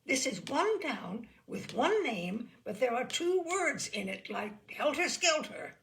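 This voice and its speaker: A woman's voice: high-pitched, very animated, slow, a bit bright, voice pushed up, heavily acted. A woman voicing a restless young son, urgent and snappish, in a humorous story. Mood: irritable. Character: restless, irritable young son telling off his father.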